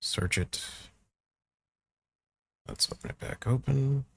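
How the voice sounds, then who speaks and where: A man giving a casual software walkthrough, thinking aloud informally as he works through each step. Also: mid-range voice, slow, creaky, slightly rough, dry recording. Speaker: tutorial presenter.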